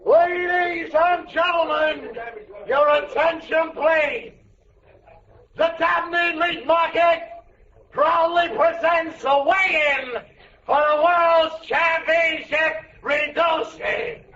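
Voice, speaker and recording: An American man playing a sly, hammy butcher who booms out drawn-out announcer patter in a 1940s radio sitcom. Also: steady tone, pushed and bright, full character voice. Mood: showy, proclaiming. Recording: muffled old radio with audience.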